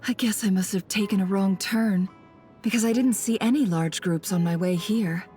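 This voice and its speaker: A woman's voice: mid-range voice, normal speed, dark tone, natural voice. A woman playing a shrewd, composed traveler in a video game, speaking politely and with calculation. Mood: earnest, explaining. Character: shrewd woman traveler posing as antiques smuggler. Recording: music under the voice.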